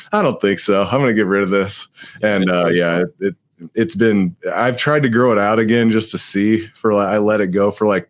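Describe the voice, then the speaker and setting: A man in his late thirties hosting a podcast, chatting casually, laughing often and swearing offhandedly. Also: lowish voice. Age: late 30s. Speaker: podcast host. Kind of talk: casual chat. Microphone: live stream podcast mic.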